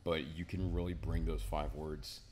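Deep-voiced laid-back young man. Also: level tone, normal speed, darkish tone, slight background noise. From Miami, Florida. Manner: laid-back, rambling, says "man" and "right?" often. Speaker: poet, interview guest. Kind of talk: casual interview. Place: small indoor studio with a painted mural wall.

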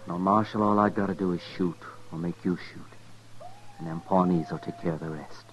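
A man's voice: sits low, steady tone, brisk, natural voice. Cornered American gun smuggler played by a man, muffled. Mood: defiant. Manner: folksy, uneducated frontier speech. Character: cornered, defiant, fearful frontier gun smuggler. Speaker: actor in a radio western. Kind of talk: 1950s radio western acting. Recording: muffled, noisy 1950s radio recording.

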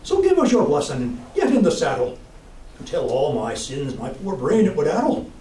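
A man voicing a sly, dying old Irish peasant man who pleads high and loud, heard from a distant mic in a roomy space. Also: huge pitch swings, normal speed, a little hiss or hum. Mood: pleading. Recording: roomy room, distant mic, faint ambience.

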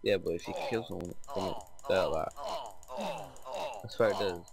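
A man's voice: low voice, lively intonation, unhurried, creaky, a loud crowd. A man chatting casually and swearing freely while playing a block-building game with a friend. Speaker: Minecraft let's play host. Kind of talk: casual gaming chat with a friend.

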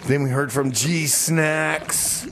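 Man playing a low-key reluctant DJ, noisy background. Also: fairly even intonation, takes it slowly. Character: easygoing, reluctant mechanic filling in as DJ.

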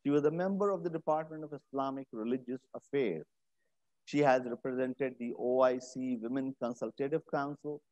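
A man's voice: medium pitch, darkish tone, no echo, muffled recording. A middle-aged man hosting an interview, reading a guest introduction from paper, then asking questions hesitantly with many fillers. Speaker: host. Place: studio set with armchair.

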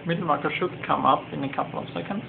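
Halting higher-pitched man on tinny audio. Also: vocal fry. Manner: halting, hesitant, pausing mid-sentence. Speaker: demonstrator of a home-built flight simulator. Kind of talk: informal demonstration narration. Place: indoor home cockpit simulator room. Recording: tinny narrow-band phone-like sound, noisy background.

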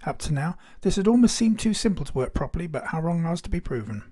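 A man narrates a technical hobby demonstration from a script. His voice sits a little higher than the average man's, and he speaks in the manner of an explainer. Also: sing-song, fast talker, dark tone, slightly rough.

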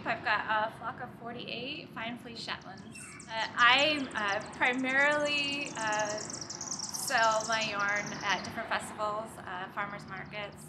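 A woman in her forties introducing herself as an interview guest, a little hesitant, speaking outdoors toward a camera. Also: mid-range voice. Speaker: guest, sheep farmer. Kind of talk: short interview self-introduction. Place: outdoors by a brick wall. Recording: noisy outdoor ambience behind voice.

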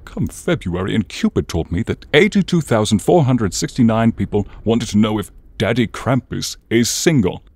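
A man playing an exasperated, menacing horned demon in an ASMR roleplay, theatrical and grumbling, with a husky, breathy voice. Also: lowish voice, expressive, moderate pace, few pauses, darkish tone, dry recording, voice pushed up. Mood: indignant, exasperated.

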